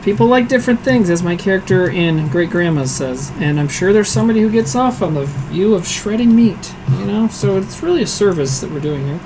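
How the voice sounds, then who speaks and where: A hoarse man hosting a casual cooking livestream from his home kitchen, chatty and self-deprecating, answering viewers aloud as he works. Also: sits high, expressive, a little fast, few pauses, background noise. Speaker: streaming cooking show host.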